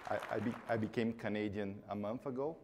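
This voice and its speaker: A man's voice: fairly low pitch, steady tone, brisk, over a noisy crowd, very noisy. Brazilian man, friendly keynote speaker. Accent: Brazilian Portuguese. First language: Portuguese. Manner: chatty, self-deprecating, joking with the audience. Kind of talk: friendly conference talk.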